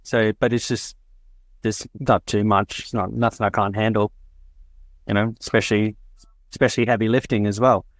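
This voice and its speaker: Laid-back rambling man, deep. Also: little pitch movement, moderate pace, pauses often, no echo. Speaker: podcast co-host. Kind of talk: casual chat.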